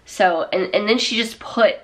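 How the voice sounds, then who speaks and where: A woman's voice: medium pitch, a little slow, a little hiss or hum. A woman, chatting casually to camera in a small room at home, congested and rambling with lots of fillers and restarts. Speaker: vlogger. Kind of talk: casual chat. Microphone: camera or phone at arm's length.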